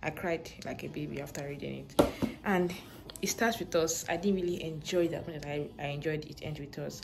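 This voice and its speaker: A young woman with a Nigerian accent chatting casually to her phone camera as a vlogger, relaxed and rambling. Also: low voice, brisk, gravelly, very noisy. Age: young adult. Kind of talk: casual vlog chat to camera. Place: bedroom, in bed; also parked car. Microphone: phone held at arm's length. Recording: background music under the voice.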